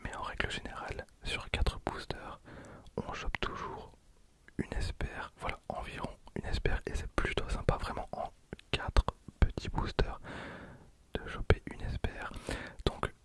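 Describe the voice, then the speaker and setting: Whispering young Frenchman, soft ASMR delivery. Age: 20s. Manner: soft, unhurried, repeats phrases slowly. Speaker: ASMR video creator and host. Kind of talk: ASMR whispering, card pack opening commentary. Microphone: handheld recorder used as close ASMR microphone.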